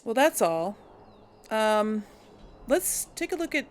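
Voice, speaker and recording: A woman's voice: a little high, very animated, takes it slowly. A woman chatting casually while she plays a role-playing game, thinking aloud and laughing often. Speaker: game role-play host. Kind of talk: casual let's-play commentary.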